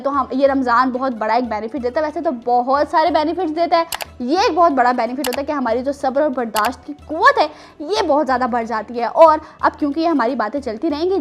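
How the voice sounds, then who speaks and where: Children's show host, female, Pakistani accent, high pitch, encouraging teacher-like delivery, music behind. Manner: encouraging, teacherly, with question prompts. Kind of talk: presenting a children's show, addressing young guests and viewers.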